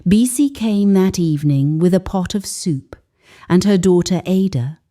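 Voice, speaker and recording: A woman narrating a scripted dramatic story in a solemn, measured manner with deliberate pauses for emphasis. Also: on the low side, lively intonation, mellow.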